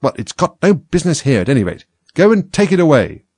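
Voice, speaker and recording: A man's voice: mid-range voice, sing-song, fast talker, husky, dry recording, clean studio sound, voice pushed up. A man voicing an angry, imperious male rabbit who scolds like a fussy master in a storybook. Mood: angry, bossy. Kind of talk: storybook character voice.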